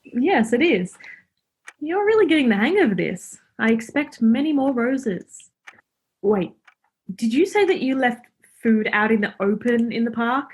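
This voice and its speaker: A teasing young woman in a radio play, played by an actress. Her voice sits fairly high with a husky grain, and she talks in a natural, brisk, blunt way. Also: animated, a little mellow, low-quality recording. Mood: fond.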